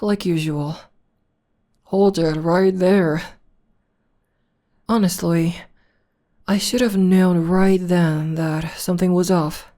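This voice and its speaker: A woman's voice: fairly low pitch, warm tone. A woman playing a theatrical female supervillain in an ASMR roleplay, arch and teasing, coaxing and tender with a beloved enemy. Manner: arch, unhurried, coaxing, mock-grand.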